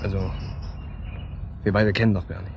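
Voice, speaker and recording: An actor playing a guarded, shady man in a naturalistic radio drama, colloquial, matter-of-fact and quietly insinuating. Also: on the low side, fairly even intonation, normal speed, slight background noise, natural voice. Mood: confiding, reflective.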